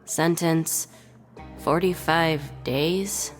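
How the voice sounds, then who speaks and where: An actress playing a jaded, sardonic prison reception clerk in a video game, dry and officious. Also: female voice, low voice, fairly even intonation, very slow, faint music behind. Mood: dry, official.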